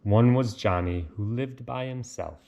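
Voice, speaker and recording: Slow-paced man reading a storybook aloud. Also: mid-range voice, vocal fry, darkish tone, a little hiss or hum. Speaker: reader of picture books. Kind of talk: read-aloud children's storybook narration with casual asides.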